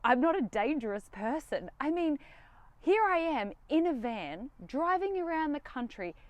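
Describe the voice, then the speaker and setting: A young woman vlogging from inside her van, defiant and sarcastic as she talks, her words jumping up with lively emphasis. Her voice sits a little high for a woman. The recording is dry and close, with no room sound. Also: dry recording. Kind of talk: casual direct-to-camera talk.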